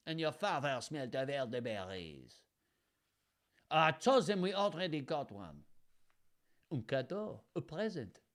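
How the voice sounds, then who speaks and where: A man's voice: on the high side, very animated, a little slow, pauses often, fairly bright tone, voice pushed up. A man imitating a mocking male French knight who hurls taunts, in a put-on French accent.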